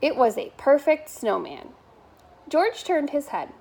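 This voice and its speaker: A woman's voice: high-pitched, sing-song, measured pace, gravelly, a little mellow. A woman reading a children's bedtime story aloud as a member of school staff.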